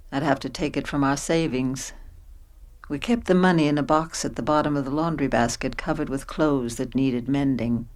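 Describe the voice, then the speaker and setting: A woman's voice: sits low, a little mellow. A woman playing a long-suffering, middle-aged working mother in a radio drama, narrating and speaking in scenes.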